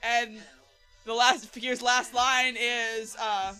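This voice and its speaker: A woman's voice: on the high side, level tone, slow pace, bright, forceful tone, smooth, soft background music. A woman hosting a casual group commentary, chatty and hesitant, with many fillers. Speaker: fan series creator on commentary.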